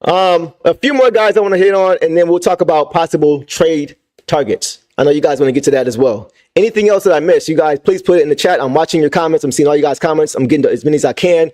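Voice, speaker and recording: A young man with a voice going hoarse, hosting a livestream and venting about basketball, repeating his points with emphasis and talking to the chat. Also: on the high side, darkish tone. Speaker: sports fan channel host. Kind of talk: livestream sports rant.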